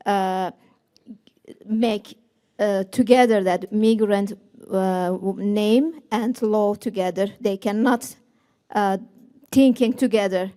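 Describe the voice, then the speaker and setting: Female panelist, mellow warm tone, hesitant delivery, non-native speaker. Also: mid-range voice, fairly even intonation, slow pace. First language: not Finnish. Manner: hesitant, searching for words.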